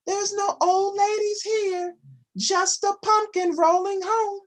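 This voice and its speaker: Man doing a sly old lady, high sing-song voice, storybook reading. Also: fairly even intonation, slow. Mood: sweetly fibbing. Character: sly little old woman hiding in a pumpkin.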